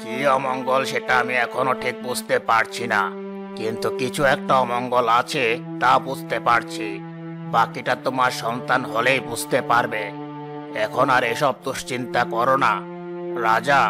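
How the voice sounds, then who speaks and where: A man playing a grave, solemn holy sage in a fairy tale cartoon, speaking slowly. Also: medium pitch, flat delivery, music in the background, natural voice. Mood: grave, foreboding. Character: grave holy sage giving ominous prophecy to a king. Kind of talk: fairy tale animation voice acting.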